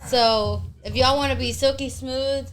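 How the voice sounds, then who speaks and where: A woman's voice: high voice, fairly even intonation, measured pace, faint music behind. A woman co-hosting a casual podcast, chatty and informal with slangy phrasing. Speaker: podcast co-host. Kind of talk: casual group podcast chat.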